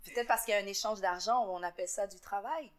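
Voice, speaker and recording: A woman from Montreal with a Québécois accent, answering interview questions in an earnest, reflective way, often pausing with fillers and repeating words. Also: medium pitch. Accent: Québécois French. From Montreal, Quebec. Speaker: interviewee, entrepreneur.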